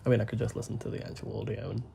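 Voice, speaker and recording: Young man, hushed rambling gameplay chat, dark gravelly voice. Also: fairly low pitch, little pitch movement, a little hiss or hum. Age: young adult. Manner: soft, deliberately hushed talk with frequent fillers. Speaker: gaming YouTuber.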